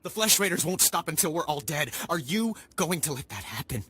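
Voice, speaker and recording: A man's voice: on the high side, very animated, a little fast, sharp tone, breathy edge, heavily acted. An actor playing a grieving, furious male villager who has lost his wife, with bitter accusing lines in a game cutscene.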